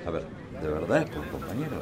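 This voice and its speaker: A man's voice: rumbling low, big pitch swings, quick pace, very noisy. A man with a Rioplatense Argentine accent acting a streetwise, brotherly union delegate in a radio drama, slangy and insistent. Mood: concerned, insistent. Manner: repeats himself insistently, slangy.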